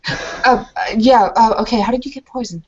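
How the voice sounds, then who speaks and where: A woman's voice: fairly high pitch, normal speed, darkish tone, slight background noise. A woman in a tabletop roleplaying game, switching between speaking as her character and narrating what she does, hesitant and full of ums. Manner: hesitant, with many ums and uhs. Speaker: tabletop player. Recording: muffled lo-fi recording.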